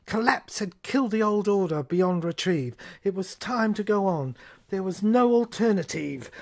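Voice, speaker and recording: A woman performing a scripted dramatic monologue as the narrator of an audio play, with theatrical, halting phrasing that can fall toward a whisper. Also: medium pitch, a little mellow.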